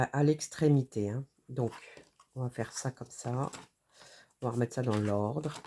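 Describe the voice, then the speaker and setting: A woman's voice: rumbling low, dark tone. A French-accented woman with a cold, hosting a casual craft tutorial and rambling with many hesitations and self-corrections. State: has a cold.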